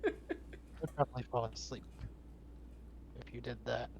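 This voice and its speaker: A man chatting casually with a streamer, easygoing and low-key, with the odd chuckle. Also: measured pace, creaky, noisy background. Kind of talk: casual chat.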